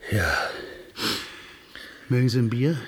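An actor in a comic radio play playing a staid, worried petty official with an earnest, pedantic manner. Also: male voice, mid-range voice, slow pace, a little mellow, slight background noise, close to an everyday voice.

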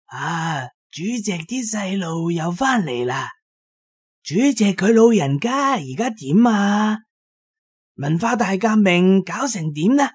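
A man voicing a deaf, muddled old village party secretary in Cantonese, zealous, bellowing slowly in a raspy voice. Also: medium pitch, expressive, pitched above the performer's own voice. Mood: excited bellowing. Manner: slow, loud bellowing of someone hard of hearing.

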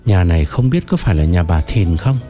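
A man's voice: deep voice, expressive, fairly quick, warm tone, soft background music, voice pushed down. A man voicing a weary, humiliated, tearful man in an audio story, hesitant and plaintive. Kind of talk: acted dialogue in an audio story.

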